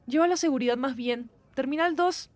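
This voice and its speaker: Actress as a high-voiced, breezy airline colleague. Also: female voice, fairly quick, dry recording, lightly acted. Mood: breezy, teasing. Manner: animated, bantering. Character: breezy, picky young airline colleague and friend.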